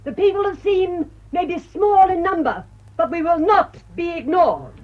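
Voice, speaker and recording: An actress playing an indignant elderly village crusader, declaiming in a British radio drama. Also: female voice, extremely high pitch, animated, normal speed. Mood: righteous indignation. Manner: declamatory, emphatic oratory. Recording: dull radio recording.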